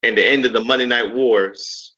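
A man in his thirties chats as a show panelist. His voice is bright and forceful, but he speaks haltingly, stumbling and restarting. The audio is dull and lo-fi. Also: medium pitch, fairly even intonation. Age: 30s. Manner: halting, stumbling, repeats himself. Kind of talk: casual chat.

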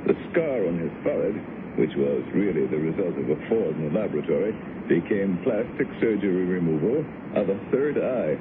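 A very low-pitched scientist in an old radio play. He explains himself with measured, calm authority. The broadcast is muffled, with a clear layer of noise. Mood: grave, insistent. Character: authoritative male scientist, calmly justifying secret brain experiments. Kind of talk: 1970s radio drama acting.

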